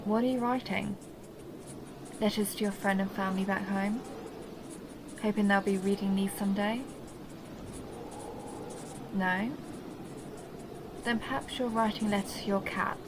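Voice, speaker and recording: A woman's voice: mid-range voice, steady tone, measured pace, long pauses, background noise, lightly acted. A woman playing a seductive, possessive vampire countess who teases and condescends in an intimate audio roleplay monologue. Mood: teasing, mock pity. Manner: teasing, mocking, intimate purring address.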